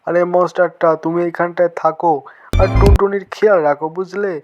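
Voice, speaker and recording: A man's voice: sits high, level tone, a little fast, muffled recording, music in the background, close to an everyday voice. A man voicing a helpful, concerned male cartoon friend with a reassuring manner. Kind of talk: cartoon dialogue.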